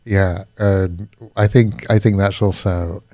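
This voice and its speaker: British guy, lowish voice, thoughtful podcast guest feeling his way through, dry close sound. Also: fairly even intonation, takes it slowly, dark tone, no room sound. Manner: reflective, searching for words. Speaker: guest, coach. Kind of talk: casual podcast conversation.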